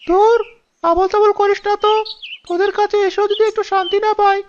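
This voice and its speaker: A woman's voice: very high-pitched, level tone, a little fast. A woman voicing an exasperated, henpecked husband in a village cartoon, full of complaints. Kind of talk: cartoon character voice acting. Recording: dull audio, faint noise, birdsong. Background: birds chirping.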